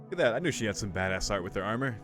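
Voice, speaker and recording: A man's voice: on the low side, animated, rapid-fire, music in the background. A man giving casual, laid-back commentary as he plays through a game, murmuring short reactions. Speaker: video game streamer.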